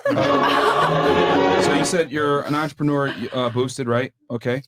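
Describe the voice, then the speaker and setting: A man's voice: medium pitch, expressive, takes it slowly, a bit bright, faint music behind. A man hosting a podcast, questioning guests in a blunt, teasing way. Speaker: podcast host. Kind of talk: casual interview questioning.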